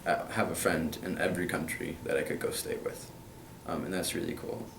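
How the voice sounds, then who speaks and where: A man's voice: low-pitched, level tone, a little fast, vocal fry, echoey room, recorded from a distance, noisy background. A young man from Kentucky answering interview questions about his school in an earnest way, with plenty of hesitant fillers. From Kentucky, USA.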